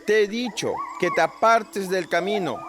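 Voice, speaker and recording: A man's voice: high voice, animated, normal speed. A man playing a wary hunter, husband and father, in a radio play, speaking in a declamatory way. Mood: alarmed, commanding.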